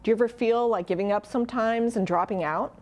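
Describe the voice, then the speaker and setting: A woman's voice: fairly high pitch, quick pace, a little mellow. Woman giving a scripted motivational talk. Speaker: college adviser.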